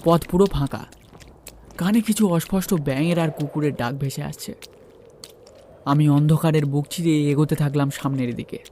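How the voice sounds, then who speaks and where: Mellow man narrating a horror story. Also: a little high, normal speed, long pauses, a little hiss or hum. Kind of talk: first-person horror story narration with acted dialogue.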